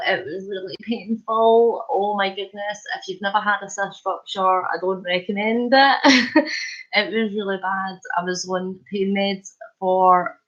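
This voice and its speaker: Chatty Scottish woman live-streaming with a cold. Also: mid-range voice. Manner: chatty, warm greetings, chuckles. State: head cold, sore throat. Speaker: vlogger hosting a live stream. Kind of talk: casual live-stream chat, greeting viewers. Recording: lo-fi dull sound.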